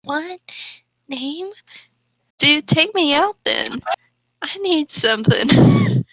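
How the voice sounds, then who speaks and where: A woman's voice: high-pitched, lively intonation, very slow, fairly bright tone, a little hiss or hum, rough recording. A woman reading fan fiction aloud for comedy, breaking into casual, playfully exasperated commentary.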